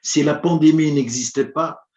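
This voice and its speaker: A man whose first language is Arabic, giving a formal prepared conference address in a measured, rhetorical manner, with long listed points. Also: on the high side, steady tone, darkish tone. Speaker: conference speaker. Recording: roomy, dull lo-fi audio.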